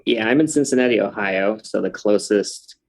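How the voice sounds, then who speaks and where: A man from Canada, in his thirties, talks as a guest on a podcast. His voice is low with a gravelly edge. He takes his time and fills gaps with ums and uhs. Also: normal speed. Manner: hesitant, many ums and uhs, easygoing. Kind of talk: casual interview chat.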